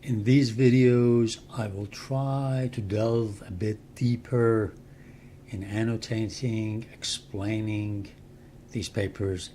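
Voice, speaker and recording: An older man with a Persian accent talks in a slow, unhurried way. His voice sits fairly low, and he gives measured explanations with pauses between phrases. A faint hum of room ambience runs behind him. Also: fairly even intonation, mellow. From Iran. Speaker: channel host and translator. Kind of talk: slow explanatory talk to camera.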